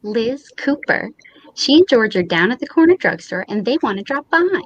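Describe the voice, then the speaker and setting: A woman's voice: a little high, big pitch swings, moderate pace, highs cut off. A woman playing a bossy wife in old time radio comedy style, firm and commanding with her husband.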